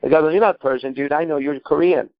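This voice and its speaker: Man, higher-pitched voice, dry slightly muffled recording, emphatic coaching, repetitive phrasing, rhetorical questions, swears freely. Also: fast talker, no echo. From Iran. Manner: emphatic, profane, repeats himself, asks rhetorical questions. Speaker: podcast host and coach. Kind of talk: live call-in advice, improvised coaching.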